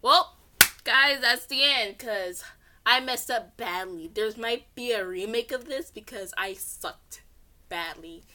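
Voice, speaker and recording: Bright-voiced woman reading stories, stumbling along. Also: fairly high pitch, slow. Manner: stumbling over words, self-correcting, giggly. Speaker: amateur storyteller reading fan fiction aloud. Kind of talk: read-along narration with character voices.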